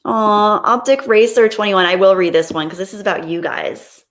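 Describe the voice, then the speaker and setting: A woman's voice: mid-range voice, moderate pace. A woman hosting a livestream, chatting casually and reading out viewer comments in a gushing, appreciative, playful way. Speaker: livestream host.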